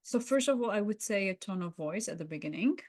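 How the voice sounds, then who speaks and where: A woman's voice: medium pitch, animated, fast, darkish tone. A woman co-hosting a conversational podcast, speaking in an encouraging, affirming way. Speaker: podcast co-host. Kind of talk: roleplay and conversational debrief.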